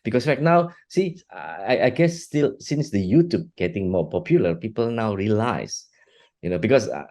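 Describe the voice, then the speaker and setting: A middle-aged man from Singapore, answering interview questions in a halting way, often restarting and repeating words. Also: low voice, warm tone. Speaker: guest, art technologist and educator.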